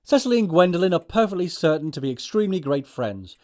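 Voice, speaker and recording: An actor playing an earnest, easily flustered young upper-class English gentleman with a proper, fussy manner, in a stage comedy audio production. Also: fairly high pitch, very animated, fast. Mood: fussy, decided.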